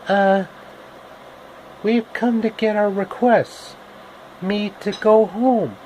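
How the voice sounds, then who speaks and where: Eager young girl adventurer for a storybook, played by a man, raised pitch, simple earnest delivery. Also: fairly even intonation, slow pace, darkish tone, slight background noise, rough recording. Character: young girl traveler, eager little lady wanting home.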